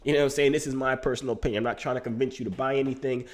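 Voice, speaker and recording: A man's voice: medium pitch, fairly even intonation, very fast. A young man with a stuffy, congested voice talking straight to his viewers in a casual, joking, hyped-up vlog style. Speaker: crypto YouTuber.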